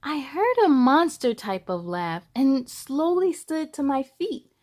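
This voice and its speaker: A woman hosts a children's storytime in a high voice. She reads at an unhurried, slow pace with animated expression, slipping in casual, wondering asides for young listeners. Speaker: storytime host reading a children's picture book.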